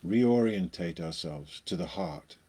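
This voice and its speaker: A man leading a guided meditation, speaking in short phrases with long pauses between them. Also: deep voice, animated, mellow, slightly rough.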